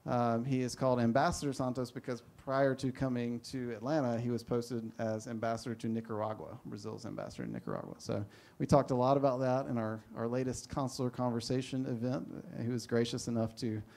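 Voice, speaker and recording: A man's voice: medium pitch, brisk, gravelly, few pauses, darkish tone. A man moderating a panel, introducing the speakers in a rambling, self-deprecating way. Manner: rambling, self-deprecating, many fillers and restarts.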